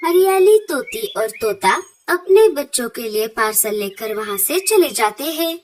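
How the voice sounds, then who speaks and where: A woman's voice: a little high, sing-song, a little slow, a little hiss or hum. A woman reading scripted storyteller narration for a children's cartoon. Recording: loud children's music behind the voice.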